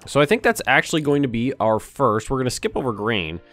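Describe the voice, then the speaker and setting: A man with a slightly hoarse voice, casually commenting while he plays a city-building game, thinking aloud and cursing now and then. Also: medium pitch, big pitch swings, fairly quick, a bit bright, soft background music. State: a little hoarse. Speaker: let's play host.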